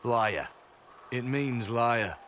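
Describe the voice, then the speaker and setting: A disciplined agent in a fantasy game. He speaks in a mid-pitched voice, measured, firm and earnest. Faint noise sits behind the voice. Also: animated, fairly bright tone. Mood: dry. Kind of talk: video game dialogue.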